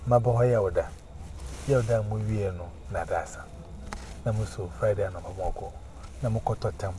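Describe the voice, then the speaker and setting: A man with a Ghanaian accent, preaching and giving testimony, emphatic and often repeating words in chanting runs. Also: fairly low pitch. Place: outdoors on a busy street. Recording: noisy street recording.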